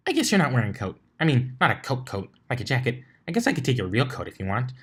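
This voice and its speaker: A man's voice: mid-range voice, huge pitch swings, fast, fairly bright tone, a little hiss or hum. A man voicing a hyperactive, bubbly young female cartoon pony with a gushing, chatty manner. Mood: rambling.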